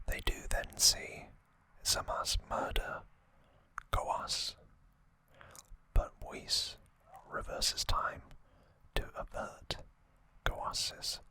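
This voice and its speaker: Breathy whisper, ASMR narration, very slow pace, crisp sibilant brightness, close microphone. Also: dry recording. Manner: slow, unhurried, gently repeats words for effect. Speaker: host of an ASMR trivia channel. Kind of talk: whispered ASMR narration, summarizing an anime saga.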